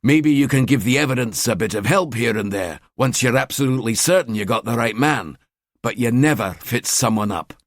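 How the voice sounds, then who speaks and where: A man's voice: mid-range voice, lively intonation, brisk, dry recording, clean studio sound, close to an everyday voice. A man voicing a cynical middle-aged detective with a Scottish accent, grumbling and blunt.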